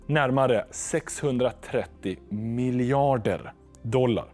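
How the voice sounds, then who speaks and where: A young man explaining investing in front of a chart screen in a studio, speaking steadily into a lapel mic. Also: fairly high pitch. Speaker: finance educator.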